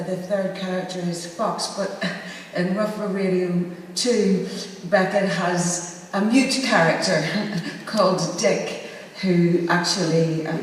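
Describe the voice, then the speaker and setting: Halting woman in a very echoey hall. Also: on the low side, darkish tone. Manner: halting, thoughtful, searching for words. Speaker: actor speaking as a panelist. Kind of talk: informal talk to an audience about a theatre project. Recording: echoey hall, distant mic, faint noise.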